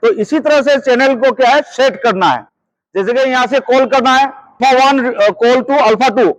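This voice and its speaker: A man's voice: very high-pitched, normal speed, no room sound, rough recording. A man teaching as a security trainer, repeating points and often checking that listeners follow. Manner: repetitive, checks understanding often. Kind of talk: hands-on instructional lesson.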